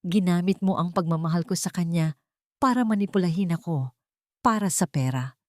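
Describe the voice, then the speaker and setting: A woman's voice: on the low side, normal speed, darkish tone, no echo, clean studio sound, lightly acted. A woman narrator as an intense, anguished billionaire man. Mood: furious, betrayed. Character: anguished, angry billionaire man, commanding and remorseful.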